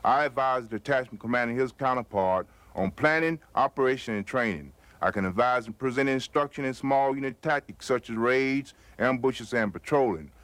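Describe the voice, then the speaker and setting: Army ops sergeant reading off his duties, flat dry mic. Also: medium pitch, fairly quick, few pauses, no room sound. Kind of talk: scripted military self-introduction.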